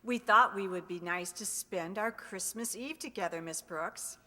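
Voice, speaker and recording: Woman playing a bright-voiced middle-aged wife. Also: mid-range voice, a little slow, slight background noise. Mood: gently chiding. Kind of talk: old-time radio sitcom acting. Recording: long hall reverb.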